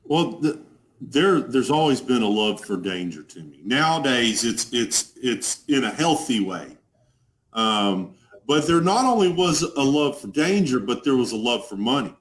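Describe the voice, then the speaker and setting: A man's voice: mid-range voice, big pitch swings, unhurried. A man in his forties from Dallas with a strong Texas accent, telling his recovery story as a guest on a video call, earnest and plain-spoken, often repeating a word as he starts. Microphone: video call webcam.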